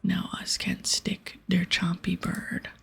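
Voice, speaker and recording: A woman acts out a crude ogre hunter from a fantasy game, whispered and breathy. The ogre talks slowly in childish broken grammar, always hungry and eager for the hunt. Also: fairly low pitch, huge pitch swings, a bit bright, soft background music, heavily acted. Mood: pleased, eager. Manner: broken baby-talk grammar, drawn-out words. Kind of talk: whispered ASMR character voice.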